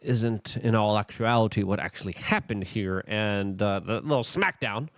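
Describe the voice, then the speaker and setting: A man's voice: lowish voice, normal speed, dry recording, studio quality. A man hosting an opinionated political commentary, speaking in an emphatic, theatrical and sarcastic manner.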